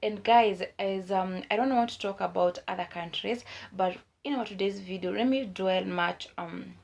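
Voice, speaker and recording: A young woman vlogger with a hoarse voice from a cold, giving earnest advice in a casual way, with many fillers. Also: mid-range voice, moderate pace, a little mellow. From Kenya. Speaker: YouTube vlogger.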